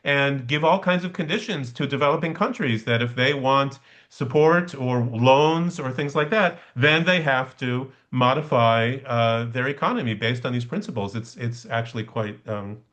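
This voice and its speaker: A man's voice: mid-range voice, moderate pace, few pauses, fairly bright tone, highs cut off. Man teaching conversationally over a video call. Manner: explanatory, often checks with "right?". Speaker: lecturer leading a study session.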